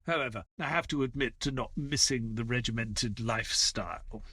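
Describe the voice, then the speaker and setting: A man's voice: mid-range voice, moderate pace, pushed and bright, husky, no room sound. An actor playing a reserved former army man who is companion to a detective and narrator of an audio play. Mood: reflective.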